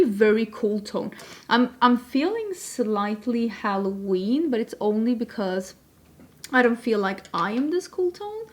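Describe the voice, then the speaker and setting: A young woman from Sweden, chatting casually and self-deprecatingly while she does her makeup on camera. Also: fairly high pitch. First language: Swedish. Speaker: beauty vlogger. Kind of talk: makeup tutorial chat.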